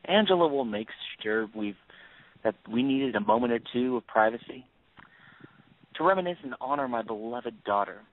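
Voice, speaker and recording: Guy playing a vengeful grieving dad in a radio play, mid pitch, accusing and bitter, sounds like down a phone line. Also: lively intonation, natural voice. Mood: coldly polite. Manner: accusing, sarcastic, commanding, deliberate. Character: bitter grieving father, host turned cold menacing avenger. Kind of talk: audio stage-play acting. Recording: tinny phone-like band.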